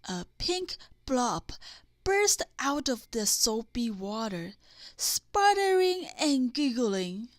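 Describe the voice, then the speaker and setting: Woman, slightly high voice, very slow bedtime story reading, dry close recording. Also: big pitch swings, no echo. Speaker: storybook reader. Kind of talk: read-aloud children's bedtime story narration.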